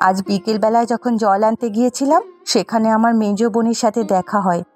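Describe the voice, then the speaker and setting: A woman's voice: on the high side, steady tone, a little fast, darkish tone, soft background music, close to an everyday voice. A woman playing a demanding, jealous village wife in a cartoon folk tale, scolding and insistent. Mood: aggrieved. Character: demanding, jealous village wife nagging her poor husband.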